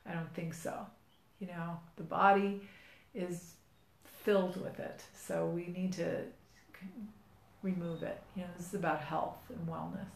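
A middle-aged woman hosting a podcast about her skin care company, speaking in an earnest, persuasive, rambling way with a low voice. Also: level tone, unhurried, creaky, pauses often. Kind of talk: casual podcast chat. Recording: echoey room.

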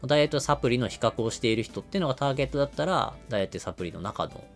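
A man's voice: mid-range voice, lively intonation, very fast, faint music behind. A man explaining things step by step in a business podcast style, using everyday examples. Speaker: podcast host explaining marketing.

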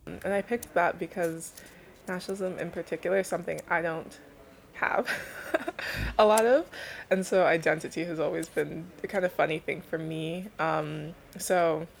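A woman's voice: on the low side, moderate pace, vocal fry, slight background noise. A young woman from Canada, explaining her research in an informal, thoughtful interview style. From Canada, born in Belgium to Nigerian parents. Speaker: interviewed thesis student.

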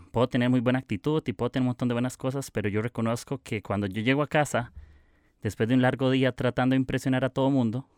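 A man's voice: medium pitch, clean tone, no room sound, clean studio sound. A young man with a Costa Rican accent hosting his own podcast, talking in a casual, reflective and confessional way. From Costa Rica. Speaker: podcast host.

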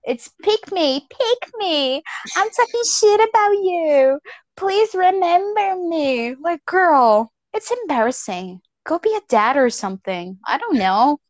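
Slow-talking ranting woman, dry sound. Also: very high-pitched, no room sound. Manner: ranting, blunt and profane, animated. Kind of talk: casual chat.

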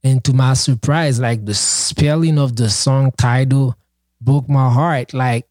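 A man whose native tongue is Haitian Creole chats on a podcast, his voice mellow and relaxed. He talks with earnest passion, stumbling through fillers and restarts. The sound is dry and close. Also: mid-range voice, slow, no room sound. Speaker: podcast co-host and project founder. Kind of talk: casual podcast chat.

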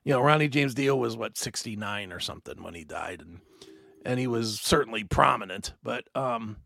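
A man in his fifties hosting a casual podcast chat, rambling and animated with many restarts. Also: mid-range voice, normal speed, creaky, no room sound, studio quality. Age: 50s. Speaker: host.

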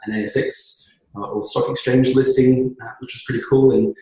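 A man's voice: medium pitch, fairly even intonation, moderate pace, warm tone, heavy reverb, low-quality recording. A man in his thirties from New Zealand, a business co-founder talking earnestly as an interview guest, with many fillers and restarts. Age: 30s. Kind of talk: conversational podcast interview.

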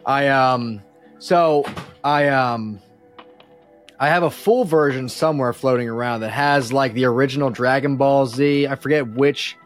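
A man chatting casually as a podcast guest, explaining in a halting, self-effacing way. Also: medium pitch, soft background music. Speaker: podcast guest, musician and composer. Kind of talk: casual chat.

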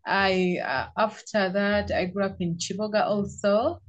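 A woman's voice: mid-range voice, fairly even intonation, measured pace. A young woman with a Ugandan English accent, a podcast guest who talks about her life plainly and laughs easily. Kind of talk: casual interview chat.

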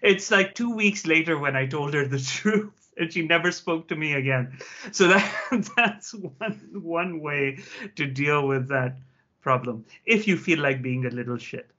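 A man's voice: fairly high pitch, unhurried, pushed and bright. A man on an online panel telling a self-mocking anecdote in a casual, wry way. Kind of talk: casual anecdote on a video call. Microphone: video call. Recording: muffled video-call audio.

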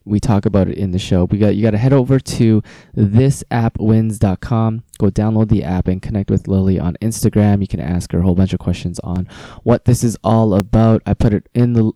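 Deep, dark-voiced male podcast host. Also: no room sound. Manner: loose, casual, with restarts. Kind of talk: podcast interview.

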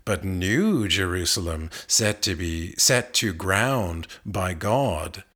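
A man reading a narrative poem aloud in a literary recitation, with measured, deliberate phrasing and expressive emphasis. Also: low voice. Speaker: reader of poetry.

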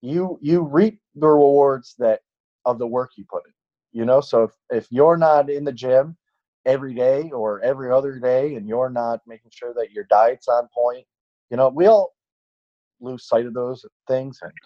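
A man's voice: medium pitch, normal speed, highs cut off. A man in his late twenties, a fitness trainer, chatting casually on a podcast and rambling with many fillers and restarts. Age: late 20s.

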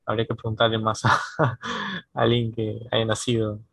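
A man in a casual conversation, musing aloud in a lively way and laughing now and then. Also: fairly low pitch, moderate pace, gravelly, husky, dry recording.

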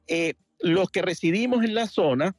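Un hombre de Venezuela que llama a un programa en directo y explica algo con muchas muletillas y frases que vuelve a empezar. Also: male voice, sits high, animated, normal speed, dry recording, clean studio sound. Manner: rambling, many fillers and restarts. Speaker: caller. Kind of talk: casual call-in chat. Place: home in Miami Beach.

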